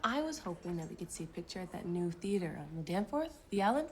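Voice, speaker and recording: Lively, friendly young woman. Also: on the low side, fast, slight background noise. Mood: cheerful. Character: friendly young 1920s working woman, upbeat and coy.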